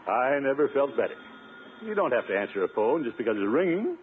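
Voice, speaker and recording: A middle-aged uncle, played by a man, puffed up and indignant, blusters in 1950s radio comedy fashion. His pitch swings widely. The old broadcast sounds thin and tinny, with hiss behind it. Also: very animated, fast, close to an everyday voice. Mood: blustering. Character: pompous, blustering middle-aged uncle, self-declared rugged individualist.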